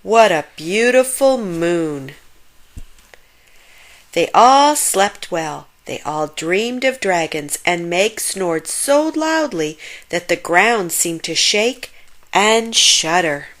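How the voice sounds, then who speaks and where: Woman, children's story narrator, slow pace, lively varied pitch, expressive read-aloud. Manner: unhurried, expressive.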